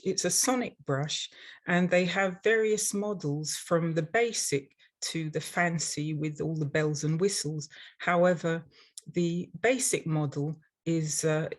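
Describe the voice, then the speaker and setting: Older london english lady doing a webinar talk, kinda low voice, measured and teachy. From London, England. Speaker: dental hygienist and instructor presenting. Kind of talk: prepared webinar presentation.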